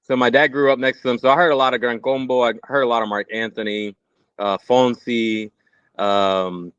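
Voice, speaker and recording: Smooth-voiced man, slightly dull recording. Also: mid-range voice, steady tone, normal speed, a bit bright, no room sound. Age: 30s. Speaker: podcast guest, musician. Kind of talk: casual interview chat.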